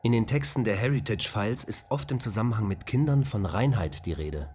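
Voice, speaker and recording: An actor playing a computer intelligence that reads out data and keyword lists in an even, matter-of-fact way. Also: male voice, fairly low pitch, a little fast, breathy edge. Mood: reporting. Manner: flat, listing, data-reading.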